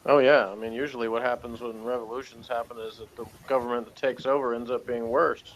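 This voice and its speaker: A man co-hosting a casual review chat, thinking aloud haltingly with dry humor. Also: mid-range voice, flat delivery, a little hiss or hum, low-quality recording. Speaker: co-host giving review opinions.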